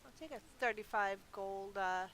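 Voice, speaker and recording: A woman's voice: medium pitch, normal speed, a bit bright. A woman giving casual gameplay commentary, chatty and playful as she thinks aloud. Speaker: gaming video host. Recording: hissy recording with background noise.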